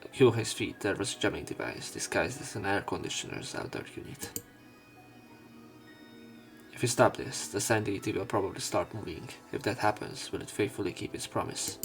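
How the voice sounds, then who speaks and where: A man with a low, gravelly voice narrates a visual novel for a let's play. He stumbles now and then over words as he reads and comments, with faint music playing behind him. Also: expressive, normal speed. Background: soft game music.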